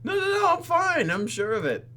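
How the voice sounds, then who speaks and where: A man's voice: extremely high pitch, huge pitch swings, moderate pace, sharp tone, slight background noise, voice pushed up, exaggerated voice. A man voicing an awkward, flustered young woman from a visual novel, hammy and stammering. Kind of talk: hammy dialogue reading in a game video.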